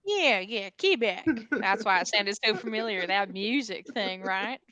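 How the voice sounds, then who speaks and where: A woman's voice: medium pitch, animated, measured pace, sharp tone. A woman playing a breezy, gossipy know-it-all informant in a comedy table read. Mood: offhand.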